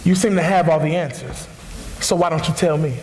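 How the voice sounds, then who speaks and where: An actor in a gospel stage play, playing a proud, self-righteous pastor and defensive husband with an indignant, pompous delivery. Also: male voice, on the high side, a little fast, darkish tone, natural voice. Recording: blown-out noisy bootleg, echoing theater hall.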